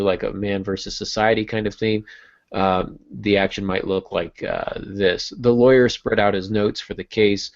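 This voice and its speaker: Man, low voice, informal podcast co-host, explanatory talk, slightly dull sound. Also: no room sound. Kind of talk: conversational writing-craft podcast discussion.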